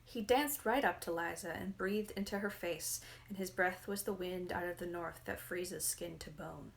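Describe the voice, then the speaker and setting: A woman's voice: on the low side, normal speed, gravelly, a little hiss or hum, rough recording. A woman narrating a fairy tale from a book as a dramatic, scripted reading. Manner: dramatic storytelling. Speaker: reader, narrator.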